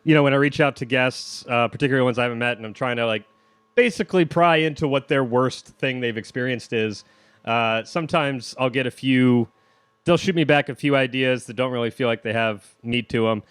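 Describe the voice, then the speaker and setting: A man's voice: mid-range voice, fairly quick, clean tone, no room sound. A man hosting a casual interview, talking in a rambling, self-deprecating, joking way. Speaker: podcast host interviewing a comedian.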